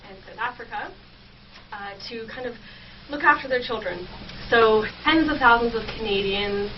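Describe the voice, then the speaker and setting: A woman's voice: a little high, moderate pace, distant mic. A young adult woman explaining her research informally as a guest in a radio interview. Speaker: guest researcher, history PhD student. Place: campus radio station. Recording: muffled, noisy, off-mic radio audio.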